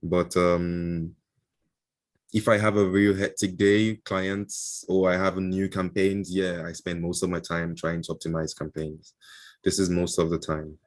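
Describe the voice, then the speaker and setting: A man with a fairly low voice talks loosely as an interview guest, stopping often for "um" and "uh" and restarting his sentences. His is Igbo, and he is heard through a video call. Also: level tone, a little slow. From Nigeria. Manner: hesitant, many fillers and restarts, chuckles often. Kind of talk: casual interview answers.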